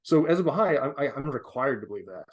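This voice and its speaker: A man's voice: mid-range voice, sing-song, fast talker, muffled recording. Young man, mid-range voice, earnest guest, emphatic, chatty. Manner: earnest, many fillers and restarts, emphatic. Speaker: guest contributor. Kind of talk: conversational explanation of faith.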